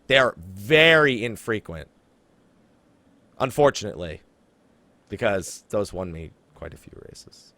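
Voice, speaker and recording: A man hosting a casual gaming podcast, talking in an animated way and exaggerating for emphasis. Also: lowish voice, a little slow, pauses often, no room sound. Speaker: podcast host. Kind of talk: casual game podcast chat.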